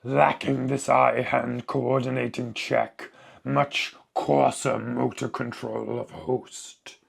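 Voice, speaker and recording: A sinister alien parasite speaks through a corpse. The voice is an extremely husky, whispering croak full of gravel. It moves slowly in clipped, halting phrases, gloating coldly over each word. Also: male voice, mid-range voice, little pitch movement, exaggerated voice. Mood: clinical, sinister. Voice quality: hoarse raspy croaking whisper. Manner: halting, clipped telegraphic speech, savouring words.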